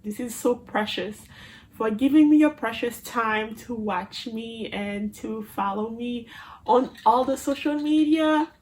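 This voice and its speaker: Young woman, Haitian Creole accent, unhurried chatty vlogger, phone mic. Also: a little high, low-quality recording. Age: 20s. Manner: chatty, playful and animated. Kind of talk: casual vlog to camera. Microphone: phone camera.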